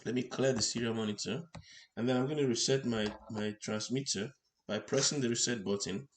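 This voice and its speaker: A man presenting an unscripted hands-on electronics demonstration, explaining step by step in halting short phrases. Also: medium pitch. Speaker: tutorial presenter. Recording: clear background noise.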